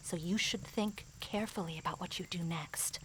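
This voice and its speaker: A woman playing a grave mystic advisor in a fantasy audio drama, speaking formally, in an earnest and measured way. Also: lowish voice, fairly bright tone, slight background noise, close to an everyday voice, rough recording. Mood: hushed, pensive.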